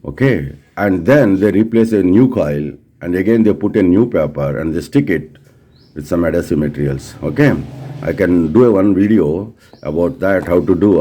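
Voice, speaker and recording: Indian male teacher, low and very mellow voice, explaining technical things informally, repeating himself for emphasis. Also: animated, normal speed. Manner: addresses listeners directly, repeats phrases, asks rhetorical questions.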